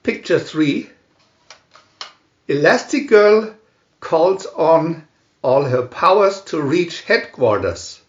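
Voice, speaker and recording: A man reading a scripted picture storybook aloud in short, deliberate phrases with pauses between them. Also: a little high, lively intonation, roomy sound, muffled recording. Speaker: reader of picture-story booklets.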